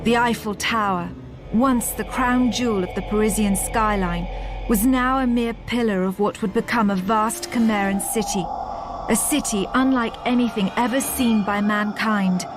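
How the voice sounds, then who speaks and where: A woman playing a grave chronicler of an alien war in a video game, narrating in a measured, serious storytelling manner over music. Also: mid-range voice, natural voice.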